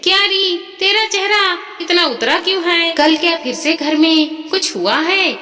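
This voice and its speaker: A woman as a kind elderly mother, high-pitched. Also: measured pace, bright, forceful tone, full character voice. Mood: concerned. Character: kind, self-sacrificing elderly widowed mother. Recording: long reverb, music behind.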